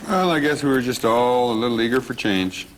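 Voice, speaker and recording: Male actor as sitcom police sergeant, blasé, medium pitch, noisy recording. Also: animated, a little fast, lightly acted. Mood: resigned. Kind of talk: sitcom script table read. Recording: noisy room at live read-through.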